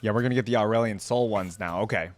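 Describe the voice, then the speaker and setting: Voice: mid-range voice, fast talker, over music. A reaction host giving casual commentary, amused and often echoing lines back.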